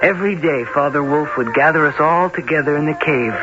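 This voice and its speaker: A man's voice: fairly high pitch, a little fast, natural voice. A man playing a grown jungle boy who narrates his childhood earnestly and vividly in an old-time radio drama. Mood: fond reminiscence. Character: grown Mowgli, earnest male storyteller recalling childhood. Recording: muffled vintage radio under loud music.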